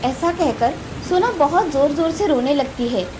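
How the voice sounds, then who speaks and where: A woman's voice: sits high, expressive, measured pace. A woman narrating a scripted moral story as a storyteller. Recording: clearly noisy, with ambience behind.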